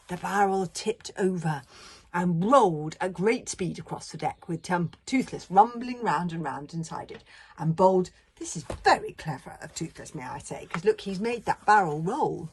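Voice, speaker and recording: A woman's voice: mid-range voice, breathy edge. A woman author reading her children's book aloud, dropping into chatty, whispered asides. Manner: warm aside-prone, drops to whispers.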